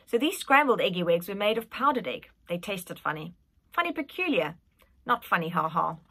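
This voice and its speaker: Animated woman reading a storybook. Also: lowish voice, moderate pace. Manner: animated, dramatic. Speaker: schoolteacher reading a storybook aloud. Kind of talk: expressive storybook narration for children.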